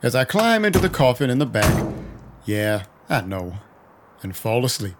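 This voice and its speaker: An actor playing a cynical, violent vampire detective, narrating a noir audio drama with wry menace. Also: male voice, medium pitch, sing-song, normal speed, noisy background, natural voice. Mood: wry, resigned. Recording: music behind the voice. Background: music.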